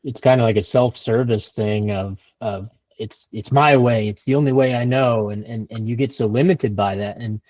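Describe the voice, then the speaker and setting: Man, podcast co-host, dry sound, casual and thoughtful, halting. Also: medium pitch, a little mellow, no echo. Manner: thoughtful, stumbling repetitions. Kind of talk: casual conversation.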